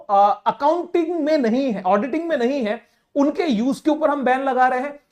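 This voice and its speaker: A woman delivers political commentary. She explains step by step, posing rhetorical questions and stressing her points to the listener. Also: high-pitched. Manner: emphatic, rhetorical questions, addresses listener as sir. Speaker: current affairs commentator.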